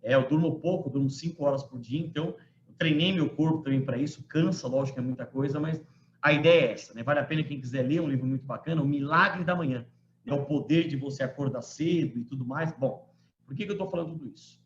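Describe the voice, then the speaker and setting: A man in his forties delivers a law lecture over a video call, talking conversationally and tossing in little tag questions. His voice sits a bit high. The sound is roomy and somewhat muffled, with faint ambience underneath. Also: fast talker, a little hiss or hum. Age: 40s. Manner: conversational, frequent tag questions, emphatic quoting. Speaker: law professor giving a lecture. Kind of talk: online lecture to students. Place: home room. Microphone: computer mic on a video call.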